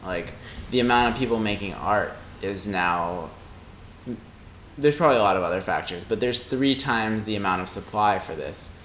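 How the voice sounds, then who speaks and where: Low-voiced young man, echoey room. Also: animated, normal speed, a bit bright. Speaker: podcast speaker. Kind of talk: casual reflective podcast conversation. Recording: roomy echo with faint background noise.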